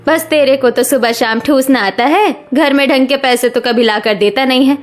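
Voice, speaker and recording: A woman's voice: sits high, normal speed, soft background music, close to an everyday voice. A woman voicing a harsh middle-aged mother-in-law who scolds and mocks, in a cartoon story. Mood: scornful. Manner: scolding, taunting. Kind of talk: Hindi cartoon story dialogue.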